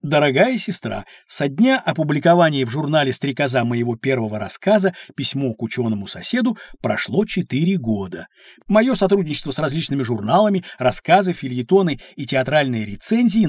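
An actor playing a kindly, knowledgeable uncle with a husky voice, narrating history for children in an educational audio drama. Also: male voice, fairly high pitch, sing-song, fairly quick, no echo, clean studio sound. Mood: reading aloud. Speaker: storyteller guide for children.

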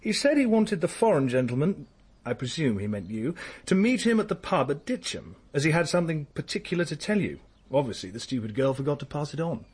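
An actor playing a brisk, disdainful young Englishman in a radio drama, with an English accent and a clipped, dismissive manner. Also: fairly high pitch, very animated, a little mellow, breathy edge. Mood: impatient, disdainful.

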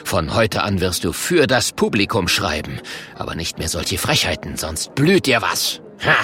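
A man voicing a greedy, bossy old monster showman in a children's story, grumbling and calling out in a drawn-out way. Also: sits low, sing-song, pushed and bright, full character voice. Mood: suspicious, mocking.

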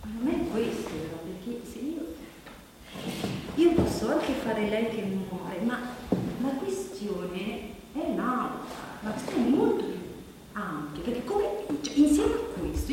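A woman performing a reflective stage monologue as an actress thinking aloud, halting and repeating phrases, as if in spontaneous conversation. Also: mid-range voice, very animated. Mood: searching. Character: reflective actress pondering how to portray women awaiting death. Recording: very echoey hall, distant, noisy.

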